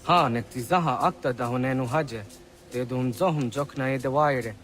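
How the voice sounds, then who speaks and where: A man's voice: mid-range voice, a little fast, fairly bright tone, lightly acted. A man acting a grave, earnest villager, music behind. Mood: grave explaining. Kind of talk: video game cutscene acting.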